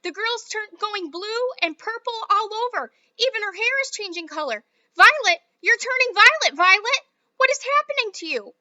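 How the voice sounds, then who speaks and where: A woman's voice: animated, very fast, hardly any pauses, sharp tone, no echo, lo-fi sound, exaggerated voice. A woman reading a story aloud and voicing a panicked mother who shrieks and screams. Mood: frantic. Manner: shrill, screaming.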